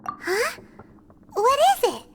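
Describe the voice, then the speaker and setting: A woman voicing a small, excitable, chatty fairy-like girl companion in a video game, bubbly and childlike. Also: very high-pitched, slow pace, heavy background noise, exaggerated voice. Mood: curious, nervous. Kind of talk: video game character dialogue.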